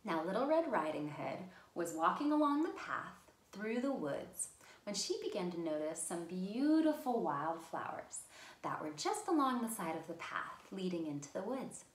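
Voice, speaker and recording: A woman's voice: fairly low pitch, big pitch swings, normal speed, echoey room, rough recording. A woman hosting a children's storytime, speaking simply and encouragingly as if to young children. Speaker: children's library storytime host.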